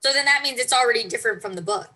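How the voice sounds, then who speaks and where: A woman's voice: a little high, very fast, heavy background noise. A woman chatting about books in an informal, emphatic, opinionated way. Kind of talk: casual book discussion chat.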